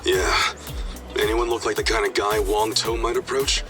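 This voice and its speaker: A man's voice: fairly low pitch, fairly even intonation, normal speed, music in the background, lightly acted. A man playing a brash, good-natured yakuza hero in a video game, talking in an informal, blunt way. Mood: searching. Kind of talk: English video game voice acting.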